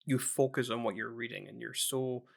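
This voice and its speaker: A man chatting casually on a podcast at a middle pitch. He rambles along with frequent restarts and trailing thoughts. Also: steady tone, moderate pace, gravelly. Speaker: podcast co-host.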